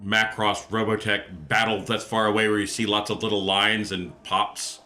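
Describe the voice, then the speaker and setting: A man's voice: fairly low pitch, flat delivery, normal speed, bright, forceful tone. A man playing a tabletop roleplaying game, chatting casually as he talks through his moves.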